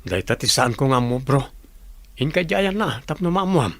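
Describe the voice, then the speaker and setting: Man acting casual Filipino construction worker, mid-pitched. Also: expressive, normal speed, no echo. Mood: teasing, casual. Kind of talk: Ilocano comedy radio drama acting.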